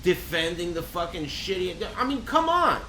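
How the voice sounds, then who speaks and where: Blunt, high-voiced man ranting over a game. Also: very animated, moderate pace, bright, forceful tone. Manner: blunt, exasperated, profane, sarcastic. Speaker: live game streamer. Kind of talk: casual ranting game commentary, swearing. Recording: roomy echo, faint background noise.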